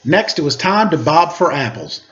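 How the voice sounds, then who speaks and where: A man reading a children's picture book aloud in an animated, expressive way. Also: fairly high pitch, moderate pace. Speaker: storyteller for children. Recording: slightly dull lo-fi recording.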